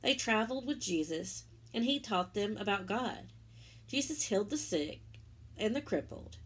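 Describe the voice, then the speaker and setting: A woman reading a children's religious picture book aloud as a story-time teacher, pausing for simple explanatory asides to young listeners. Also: mid-range voice, animated, a bit bright, breathy edge.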